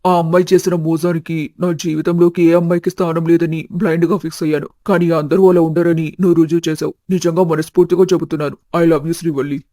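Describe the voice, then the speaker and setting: A man voicing a sincere, gentle young husband in an animated family story, earnest and subdued. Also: fairly high pitch, quick pace, few pauses, no room sound, studio quality, lightly acted. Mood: confessional. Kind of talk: animated family drama dialogue.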